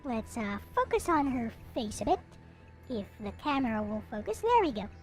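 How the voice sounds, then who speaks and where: High-pitched woman in casual show-and-tell, music behind. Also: very animated, moderate pace, a little mellow. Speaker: streamer showing collectible anime figures.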